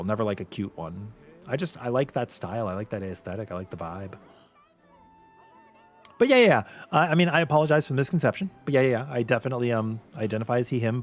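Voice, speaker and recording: A man with a light voice, often taken for a girl's, chats with his viewers on a livestream. His pitch sits mid-range but swings widely as he talks, animated and quick to laugh. Faint game music plays behind him. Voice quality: light voice he says is mistaken for a girl's. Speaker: VTuber streamer. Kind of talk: casual livestream chat with viewers.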